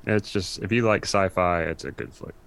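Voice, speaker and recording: Deep-voiced man chatting casually. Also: normal speed, gravelly, fairly bright tone, smooth, no echo. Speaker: podcast guest. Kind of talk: casual chat.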